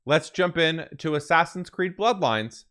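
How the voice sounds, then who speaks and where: A man's voice: a little high, lively intonation, a little slow, fairly bright tone. A man hosting a gaming reaction video, talking casually in an enthusiastic, emphatic way. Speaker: host of a gaming reaction channel.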